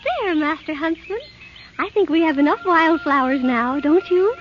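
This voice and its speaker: A woman voicing a sweet, timid young princess in an old animated film, gentle and dainty. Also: sits high, moderate pace. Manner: gentle, dainty, sing-song. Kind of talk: 1930s animated film acting. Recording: dull vintage film soundtrack with music.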